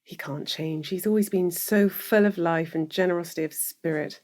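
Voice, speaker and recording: A woman's voice: lowish voice, normal speed, darkish tone, natural voice. Audio drama role for an actress: anxious professional woman, forties, emotional, easily rattled, slightly needling, naturalistic delivery. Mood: troubled. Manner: emotional, questioning, easily worked up. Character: anxious, wine-drinking middle-aged professional woman, wistful and needling.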